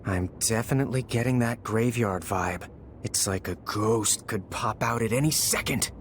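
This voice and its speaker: An actor voicing a goofy, excitable teenage brother who likes to joke, in an animated game cutscene. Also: mid-range voice, moderate pace, slight background noise, close to an everyday voice. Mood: teasing.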